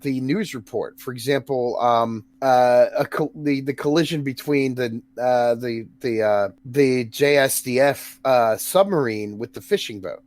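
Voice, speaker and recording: A New Zealand man hosting a casual podcast in a mid-pitched voice. He rambles through long stories, stops and restarts often, and laughs at what he is describing. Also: a little slow, hardly any pauses, darkish tone. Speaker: podcast host.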